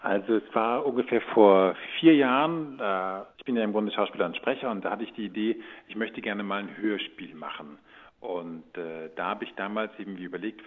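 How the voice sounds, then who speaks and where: A man giving informal, rambling interview answers in a conversational way, as a guest. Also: fairly low pitch. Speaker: radio drama producer, actor and interview guest. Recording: phone-like narrow band sound.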